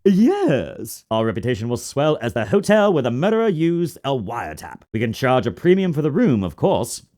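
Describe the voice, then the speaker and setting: An actor voicing a self-important, morbidly eager hotel bellboy from a video game, with an obsequious, melodramatic manner. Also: male voice, medium pitch, sing-song, moderate pace, dark tone, lightly acted. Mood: proud. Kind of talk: dramatized video game dialogue.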